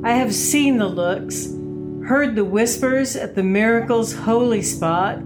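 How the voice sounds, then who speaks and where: An actress playing a devout, stern woman who leads a congregation of pilgrims, speaking in a measured, pious way in an audio drama. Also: a little high, lightly acted. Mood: stern, fervent. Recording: music behind the voice.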